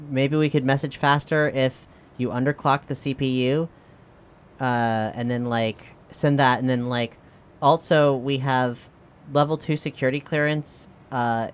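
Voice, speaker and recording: A woman playing a tabletop role-playing game, improvising casually and thinking aloud in halting phrases. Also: a bass voice, clean tone.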